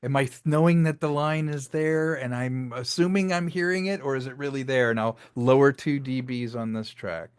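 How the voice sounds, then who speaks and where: A man with a mid-pitched voice talks through his craft in a casual interview. He explains like a storyteller, often slipping into little acted-out quotes to make his point. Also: normal speed, few pauses, clear, resonant tone. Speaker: interviewed filmmaker and audio drama creator.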